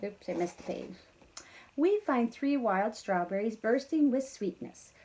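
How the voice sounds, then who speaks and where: A woman reading a children's story aloud in an expressive, encouraging way, talking directly to young listeners with casual asides. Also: a little high, unhurried, slight background noise. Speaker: teacher reading a bedtime story to pupils.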